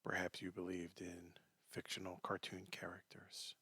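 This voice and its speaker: Male meditation guide: deep, husky and soft, gentle delivery with long pauses, breathy phrasing. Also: completely flat delivery, dry recording. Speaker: meditation teacher.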